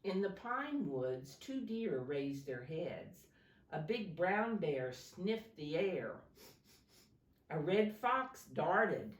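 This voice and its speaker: A woman with a low voice reads a story to small children as their teacher. Her pitch swings expressively and she takes her time, explaining simply and putting questions to the listeners. The sound is roomy and set back from the microphone. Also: big pitch swings, drawn-out words. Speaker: preschool teacher reading a picture book aloud. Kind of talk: storytime read-aloud for young children. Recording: roomy, echoey sound, distant from mic.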